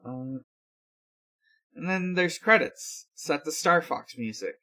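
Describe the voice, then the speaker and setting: Male podcast host, unhurried and hesitant, for an informal episode recap. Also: on the high side, animated, sharp tone.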